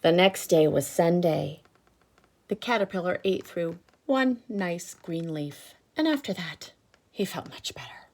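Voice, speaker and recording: A woman teacher reading aloud to children, with expressive emphasis and instructive explanatory asides. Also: fairly low pitch, unhurried. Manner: instructive, checks in with listeners.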